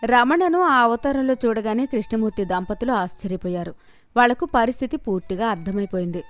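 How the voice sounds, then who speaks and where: A woman narrates a scripted moral story as a storyteller. Her voice sits high, and music plays behind her. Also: lively intonation, fairly quick.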